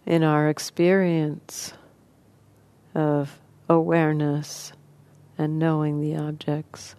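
A woman leading a guided meditation as a teacher, speaking in unhurried, sparse phrases with long pauses. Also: sits low, little pitch movement, warm tone.

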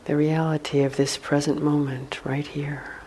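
A woman meditation teacher leading a guided meditation in a hushed, soothing manner, with long pauses between short phrases. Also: low voice, level tone, rough recording. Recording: faint background noise.

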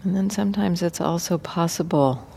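A woman meditation teacher leading a guided meditation gently and without hurry, leaving many pauses. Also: lowish voice, gravelly, slight background noise.

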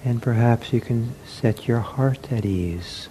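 A man teaching a guided meditation in gentle, soothing short phrases with long pauses. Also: medium pitch, slight background noise, low-quality recording.

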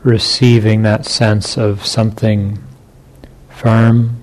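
A man leading a guided meditation as a teacher, speaking in short phrases with long pauses between them. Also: fairly low pitch, little pitch movement, darkish tone.

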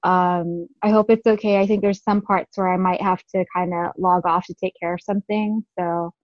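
A woman from California introducing herself on a video call, with many ums and pauses. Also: fairly low pitch, fairly even intonation, no echo. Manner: many fillers. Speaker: course participant. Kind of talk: hesitant self-introduction. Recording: dry, dull call audio.

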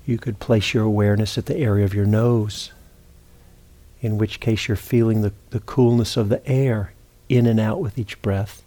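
A man teaching meditation, giving gentle, unhurried guided instructions with long pauses between phrases. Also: lowish voice, fairly even intonation.

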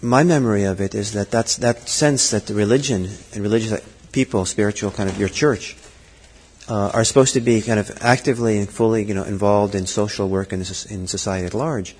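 Middle-aged male teacher, husky voice, halting reflective delivery, long hall reverb. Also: lowish voice, steady tone, a little mellow. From Norway. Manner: thoughtful, halting, many fillers and restarts. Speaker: Buddhist teacher. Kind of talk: dharma talk.

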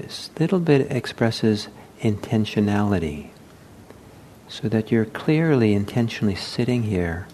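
A man leading a guided meditation as a teacher, speaking gently and unhurriedly with halting pauses. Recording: low rumbling background noise.

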